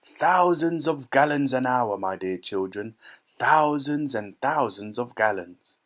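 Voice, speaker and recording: An eccentric, excitable factory owner from a children's storybook, acted out by a man. He is exuberant and flamboyant. He shows off like a showman and repeats things for emphasis. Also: mid-range voice, slow pace. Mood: proud delight.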